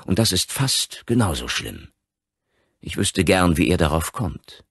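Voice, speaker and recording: A man voicing an opinionated psychiatrist in an audiobook, lecturing in a measured, earnest, emphatic way. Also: low voice, very animated, fairly bright tone, breathy edge, dry recording, studio quality, heavily acted. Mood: earnest, convinced. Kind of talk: audiobook character acting.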